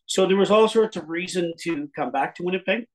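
An older man from Canada, telling stories in a loose, easygoing way with plenty of pauses and fillers. His voice sits a little high for a man. He comes through a webcam on a video call from an indoor room at his cottage. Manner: rambling, easygoing storytelling with many fillers. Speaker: guest musician. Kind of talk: casual interview chat.

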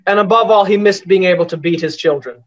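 A young man from Bangladesh doing a deadpan stand-up set over a video call, with dry delivery and frequent fillers. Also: high-pitched, fast talker. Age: young adult. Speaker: stand-up comic. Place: small room indoors at home. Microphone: laptop webcam on a video call. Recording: muffled video-call audio.

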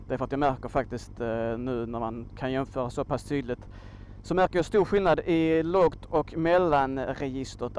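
A man's voice: fairly high pitch, a little mellow, slight background noise. A man from Sweden giving a casual, slangy vlog monologue, thinking aloud as he talks. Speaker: motorcycle vlogger, host. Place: outdoors on a motorcycle by a road.

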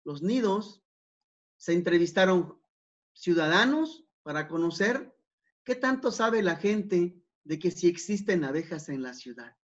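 A man giving an academic talk over slides, unhurried, with many fillers and repeated words. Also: high-pitched, lively intonation. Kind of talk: conference presentation over slides. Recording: dull, lo-fi online talk.

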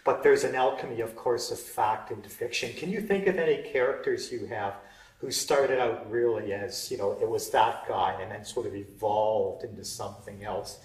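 A man's voice: fairly low pitch, moderate pace. Male host, easy conversational questions, echoey room, distant mic. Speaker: interview host. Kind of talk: interview questions. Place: wood-panelled indoor room. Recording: roomy sound from a distant mic.

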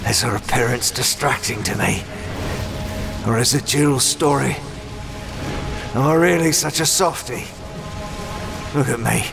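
A man's voice: mid-range voice, gravelly. A man playing a battle-worn, self-reproaching swordsman, gritted and brooding, in a fan comic dub. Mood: frustrated.

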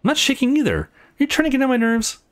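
A man voicing a feisty, hot-tempered young athletic woman in a game, emphatic and indignant, in a high girlish tone. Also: wildly swooping pitch, fast talker, heavily acted. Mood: defiant.

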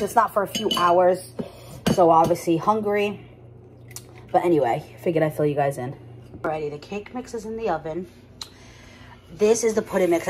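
A woman's voice: on the low side, slight background noise. Chatty young woman vlogging. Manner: chatty, lots of "like" and restarts. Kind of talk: casual vlog chat. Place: home kitchen.

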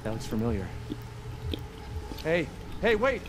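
A man's voice: fairly high pitch, very animated, a little slow. A man playing a desperate, blunt father in a video game, urgent and frustrated. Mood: exasperated, urgent. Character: desperate, exasperated father searching for his daughter. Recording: game ambience noise behind.

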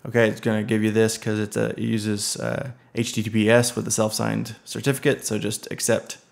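A man giving a relaxed screen-recorded software tutorial, thinking aloud with many ums and uhs. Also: lowish voice, a little fast, vocal fry. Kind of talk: casual screen-recorded tech walkthrough.

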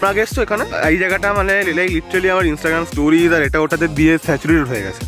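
A man's voice: on the high side, very fast, music in the background. A man talking casually to camera at a roadside, mixing languages. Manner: chatty, switches between Hindi, Bengali and English. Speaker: motovlogger. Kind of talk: casual vlog talk to camera. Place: roadside outdoors in a city. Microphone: action camera on helmet.

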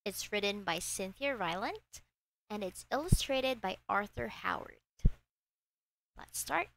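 A woman reading a children's book aloud in an expressive storytelling style. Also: mid-range voice, unhurried, creaky, rough recording.

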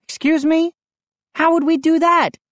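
A woman voicing an excitable boy who is the narrator's friend, loud and agitated. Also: extremely high pitch, unhurried, dry recording, voice pushed up.

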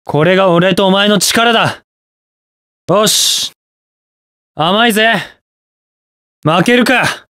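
A man's voice: high-pitched, takes it slowly, long pauses, bright, forceful tone, clear, resonant tone, dry recording, heavily acted. A young man voicing a cocky, aloof masked rider hero in a video game, with a cool, dry, self-assured delivery. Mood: fierce battle cries. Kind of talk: game voice lines.

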